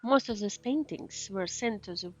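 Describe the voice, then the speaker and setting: A woman's voice: mid-range voice, normal speed. A woman streamer giving casual game commentary, thinking aloud and reading text from the screen. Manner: murmured acknowledgements, thinking aloud. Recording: muffled mic over faint game music.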